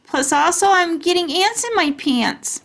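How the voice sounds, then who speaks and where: A woman voicing an excitable, sassy little kindergarten girl from a storybook, with childish, emphatic kid talk. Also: very high-pitched, measured pace, gravelly, pitched above the performer's own voice, full character voice. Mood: exasperated. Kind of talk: children's storybook character reading.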